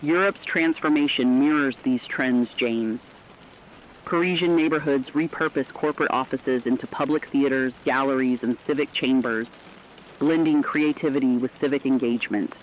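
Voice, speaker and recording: A man playing an earnest radio news correspondent, reading scripted reports in a formal, measured way. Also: mid-range voice, dull audio, a little hiss or hum, lightly acted.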